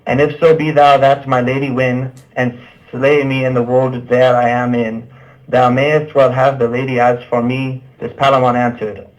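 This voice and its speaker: A man's voice: mid-range voice, flat delivery, moderate pace. A man reading archaic verse aloud for a puppet show, stumbling over the old words. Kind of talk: halting read-aloud of Middle English verse. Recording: dull, muffled lo-fi sound.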